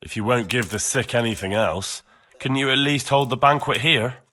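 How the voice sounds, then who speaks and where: A man's voice: medium pitch, normal speed, bright, forceful tone. A young man playing an earnest, stubborn and cheeky smith's son in a video game, plain-spoken and defiant. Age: young adult. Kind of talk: video game cutscene acting.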